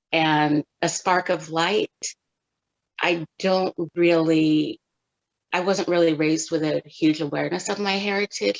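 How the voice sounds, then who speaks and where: A middle-aged woman from California, a photographer answering interview questions in a thoughtful, measured way with pauses. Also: lowish voice, vocal fry, lo-fi sound. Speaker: guest photographer.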